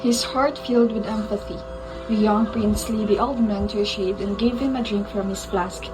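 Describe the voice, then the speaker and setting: Radio play narrator: woman, middle pitch, storytelling read, music bed. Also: fairly even intonation, normal speed, rough recording.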